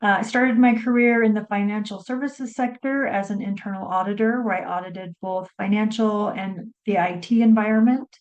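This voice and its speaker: Measured woman on dull audio. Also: medium pitch, steady tone. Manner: measured, formal, with fillers. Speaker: panel moderator. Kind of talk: panel self-introduction.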